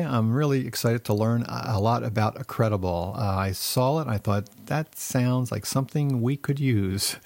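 A man's voice: medium pitch, gravelly, darkish tone, no room sound. Dry-sounding male podcast host. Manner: reads sponsor notes slowly, friendly questions with fillers. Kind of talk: podcast intro and interview.

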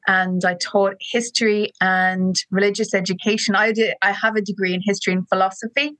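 Lively Irish woman in her forties. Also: medium pitch, a little fast, no room sound. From Ireland. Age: 40s. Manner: chatty, enthusiastic storyteller. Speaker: guest, education app co-founder. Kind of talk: podcast interview. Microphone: remote call.

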